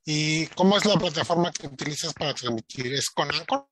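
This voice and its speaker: A man's voice: fairly high pitch, brisk, fairly bright tone, slight background noise. A man hosting a podcast in casual chat, rambling and filled with filler words. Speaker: podcast host.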